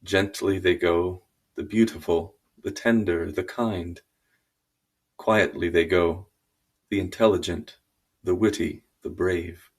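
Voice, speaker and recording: Male poetry reader: deep, unhurried, grave and deliberate delivery. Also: steady tone. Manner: solemn, deliberate. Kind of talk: dramatic poetry reading.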